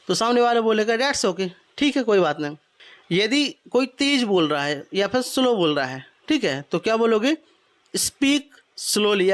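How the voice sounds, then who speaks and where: A man in his twenties from Madhya Pradesh teaching English phrases, explaining in Hindi and repeating each phrase slowly. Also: high-pitched, a bit bright. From Madhya Pradesh, India. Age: 20s. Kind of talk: spoken English lesson.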